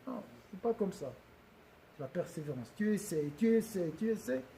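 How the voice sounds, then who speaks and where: Male religious teacher, high-pitched but mellow in tone, talking to a room, checks in with listeners, loops back on his words. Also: lively intonation, moderate pace, creaky, slight background noise. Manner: questions the audience often, repeats and restarts phrases. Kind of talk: religious lesson to a gathering.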